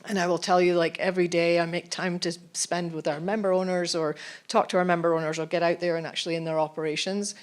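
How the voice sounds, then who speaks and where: A woman's voice: on the low side, flat delivery, fast talker. A woman, a corporate executive, speaking earnestly on a festival panel. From Scotland. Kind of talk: panel discussion.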